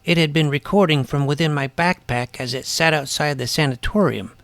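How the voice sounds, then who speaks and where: An actor playing an earnest schoolboy detective who narrates his own mystery story in a deadpan, self-serious way. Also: a little high, a little fast, creaky, no room sound. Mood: wry, reflective. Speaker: narrator and lead character. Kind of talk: scripted first-person mystery narration.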